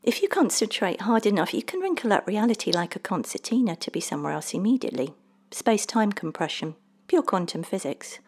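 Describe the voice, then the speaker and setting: A woman voicing a mysterious little man, teasing, brisk and breezily insistent, for an audiobook story. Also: mid-range voice, big pitch swings, a little mellow, breathy edge, voice pushed up. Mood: brisk, breezy. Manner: teasing, brisk, matter-of-fact.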